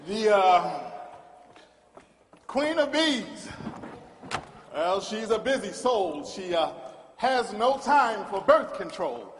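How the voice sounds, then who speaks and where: A folksy emcee, slow and halting, with a high, lively voice. It is stage comedy, heard in an echoey theatre. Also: male voice, exaggerated voice. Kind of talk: comic stage play acting. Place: large indoor theatre stage. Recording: echoey theatre hall, long reverb.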